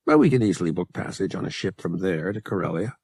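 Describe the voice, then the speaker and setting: Guy reading a flirty rogue pilot dude in an audiobook, raspy low-key voice, confident and teasing, dry sound. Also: big pitch swings, fast, a little mellow, dry recording, full character voice. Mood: flirtatious, reassuring. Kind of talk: audiobook character voice.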